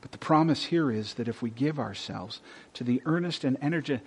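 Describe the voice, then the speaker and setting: Husky-voiced preacher, hushed to booming. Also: male voice, fairly quick. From Texas. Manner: swings from loud scripture reading to hushed whispers. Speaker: pastor. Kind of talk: sermon.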